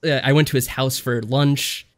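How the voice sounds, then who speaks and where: A man's voice: medium pitch, fairly even intonation, normal speed. Guy on a casual podcast reviewing stuff, rambly stories with little acted-out asides. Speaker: podcast co-host. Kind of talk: casual chat reviewing games.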